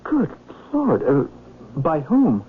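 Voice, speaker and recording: An actor playing a flustered, polite personal secretary who stammers hesitantly, in vintage radio drama style. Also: male voice, on the high side, wildly swooping pitch, slow, breathy edge, phone-quality sound, heavily acted. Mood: shocked, flustered. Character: flustered male personal secretary of a wealthy knight. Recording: thin, noisy vintage radio recording.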